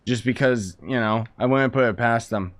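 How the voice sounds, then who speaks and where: A man's voice: medium pitch, normal speed. A man giving casual, sarcastic live commentary as a video game streamer, in an informal way. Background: faint game music.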